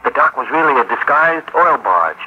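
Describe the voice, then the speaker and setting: An actor playing a loyal counterspy assistant, respectful and questioning, in a 1940s radio drama. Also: male voice, on the high side, very animated, moderate pace. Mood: realizing. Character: loyal, sharp-witted male counterspy assistant. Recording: dull, noisy 1940s radio recording.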